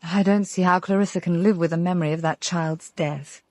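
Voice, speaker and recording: An actress playing an inquisitive, principled female employee in an audio drama, direct and quietly earnest in her questions. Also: lowish voice, fairly even intonation, fairly quick, dry recording, close to an everyday voice. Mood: troubled. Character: inquisitive, principled woman working as a temporary employee. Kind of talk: naturalistic audio drama dialogue.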